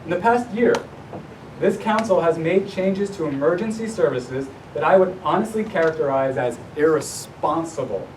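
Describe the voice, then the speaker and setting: A man reading a prepared public comment to a town council, speaking formally and earnestly with deliberate emphasis. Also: darkish tone. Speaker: resident addressing a town council. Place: indoor public council meeting. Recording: distant mic, noisy room with low rumble.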